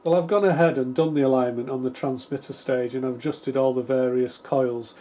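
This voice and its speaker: A man's voice: mid-range voice, steady tone, a little fast, dark tone. A man presenting an electronics repair video in a casual, unscripted way, explaining each step tentatively and with self-deprecation. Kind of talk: casual unscripted technical walkthrough.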